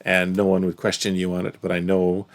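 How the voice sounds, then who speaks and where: A man's voice: completely flat delivery, a little fast. A man with an English Canadian accent, musing casually as he reacts to a video. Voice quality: gravelly, low. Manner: thoughtful, musing, trails off softly.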